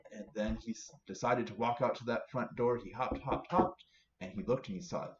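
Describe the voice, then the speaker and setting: A man's voice: medium pitch, normal speed. A man telling a story to children as a library storyteller, with playful repetition and emphasis. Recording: roomy, slightly dull recording with faint noise.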